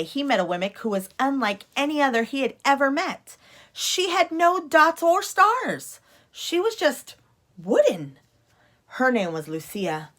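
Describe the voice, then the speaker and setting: A woman's voice: a little high, fairly bright tone. A woman reading a children's storybook aloud expressively, like a teacher at story time.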